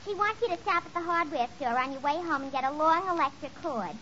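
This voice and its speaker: Shrill brash New gal. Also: female voice, quick pace, bright, forceful tone. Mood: brisk. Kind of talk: 1940s radio comedy acting. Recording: muffled, noisy 1940s radio recording.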